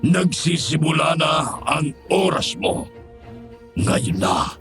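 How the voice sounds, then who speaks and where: An actor playing a cruel, taunting aswang lord in a horror audio drama, speaking with menacing mock politeness. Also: male voice, fairly high pitch, sing-song, slow, gravelly, fairly bright tone, exaggerated voice. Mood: cold threat. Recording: music under the voice.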